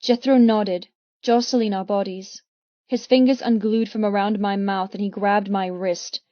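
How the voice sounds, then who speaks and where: A woman reading dramatic first-person audiobook narration in an intense, deliberate manner. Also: mid-range voice, steady tone, dry recording, highs cut off.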